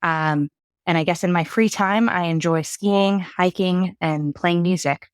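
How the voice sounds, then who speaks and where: A woman in her thirties, a cardiology fellow, born in the UK and raised in Canada, speaking in a relaxed, conversational way as a podcast guest. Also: fairly low pitch, a little slow, smooth, no room sound, clean studio sound. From United Kingdom, raised in Canada.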